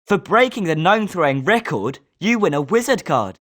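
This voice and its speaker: Lowish, animated British schoolboy played by a woman. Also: normal speed. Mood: congratulatory. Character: confident schoolboy wizard, sporting and competitive. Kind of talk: scripted video game character lines.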